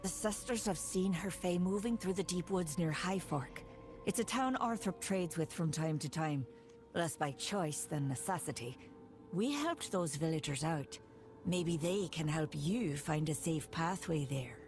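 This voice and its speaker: Low, steady woodland ally. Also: female voice, unhurried, faint music behind. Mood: calm, helpful. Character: steady, practical woman of a woodland circle. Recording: game score behind.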